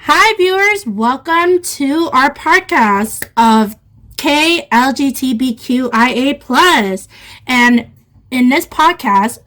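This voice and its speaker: A young American woman with an American accent introduces the podcast in a friendly, deliberate manner. She speaks slowly, and her voice sits fairly high. She sounds like a student in her early twenties. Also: animated, fairly bright tone. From United States. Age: 20s. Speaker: podcast co-host. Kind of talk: casual chat.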